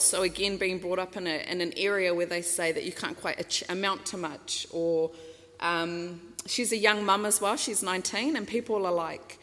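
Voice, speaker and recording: A woman's voice: fairly low pitch, fairly bright tone. A New Zealand woman giving a casual public talk at a microphone stand, chatty, laughing often, full of fillers and restarts. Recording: long hall reverb.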